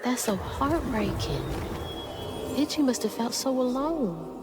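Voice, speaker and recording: An earnest, compassionate young heroine speaks in a video game. Her voice is fairly high and very animated, conversational and persistent. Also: unhurried, music in the background. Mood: sympathetic.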